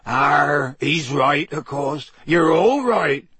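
A man voicing a huge, humble gamekeeper with a hoarse, croaky voice and slow, rustic speech. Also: a little high, lively intonation, a bit bright. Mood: tearful. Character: huge gruff male gamekeeper, tearful and humble.

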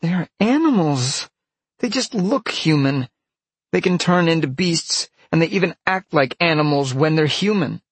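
Male narrator as distressed young shapeshifter woman, pitched well up, husky, protesting, defiant, for an audiobook. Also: lively intonation, measured pace, no echo, heavily acted. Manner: pleading, protesting. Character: upset, defiant young female fox shapeshifter. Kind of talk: audiobook character acting.

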